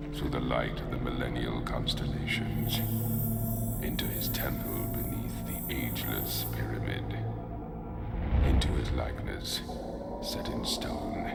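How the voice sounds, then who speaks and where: An ancient, portentous game narrator, intones prophecy in a heavily creaking voice. The delivery is solemn and swings in pitch, over loud music. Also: male voice, exaggerated voice. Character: ominous ancient male voice intoning a dark prophecy. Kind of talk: dramatic game cutscene narration.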